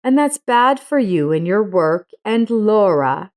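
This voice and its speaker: A woman voicing a caring, gently scolding nanny in a narrated story, with an earnest, advising manner. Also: mid-range voice, expressive, slow pace.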